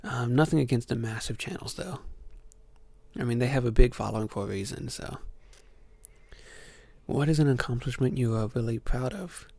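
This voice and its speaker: A young man from Ohio hosting a soft-spoken ASMR Q&A, answering questions hesitantly with many ums. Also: mid-range voice, moderate pace, creaky, long pauses, no room sound. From Ohio, USA. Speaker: ASMR channel host.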